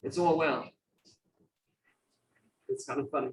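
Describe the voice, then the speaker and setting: A man's voice: medium pitch, fairly even intonation, a little slow. An Irish man giving an informal lecture in a conversational way, repeating phrases for emphasis. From Ireland, grew up in Dublin. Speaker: guest lecturer. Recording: roomy, distant mic with faint noise.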